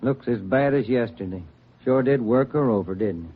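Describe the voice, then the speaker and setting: A man acting an easygoing, observant detective partner in a vintage radio police drama. His voice is middle-pitched. The recording is thin like a phone line, with faint noise. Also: moderate pace, natural voice. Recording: tinny, faintly noisy old radio recording.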